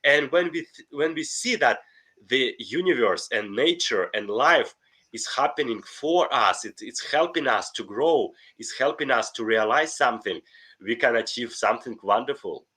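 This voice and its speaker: A man's voice: mid-range voice, animated, normal speed, bright, forceful tone, breathy edge. A middle-aged man with a Russian accent, speaking earnestly and enthusiastically as a podcast guest, often restarting his sentences. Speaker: guest, life coach. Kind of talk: podcast interview.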